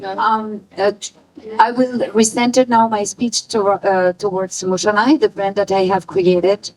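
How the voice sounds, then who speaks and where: A woman speaking on a panel about the fashion brand she founded, halting and emotional, often searching for her words. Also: mid-range voice, little pitch movement, a little mellow, poor audio. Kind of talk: panel talk.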